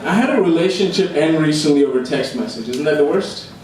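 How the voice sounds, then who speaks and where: A young man performing live stand-up comedy with a deadpan delivery, recorded in a room. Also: on the high side, fairly quick. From immigrated to Australia at age eleven. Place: indoor comedy room before a live audience. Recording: echoey, noisy room recorded from far off.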